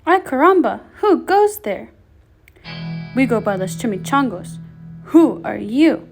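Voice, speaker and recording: A woman voicing excitable little Chihuahuas in a children's storybook, exclaiming and chanting. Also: high voice, a little slow, vocal fry, soft background music, exaggerated voice. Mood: challenging. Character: band of excitable little bandit-fearing Chihuahuas.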